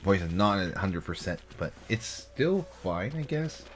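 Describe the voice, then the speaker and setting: A man's voice: low voice, big pitch swings, normal speed, heavy background noise. A man casually commenting on a game as he plays it, his voice still recovering with a slight cough. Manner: casual, reads game text aloud with filler and asides. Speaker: let's play host.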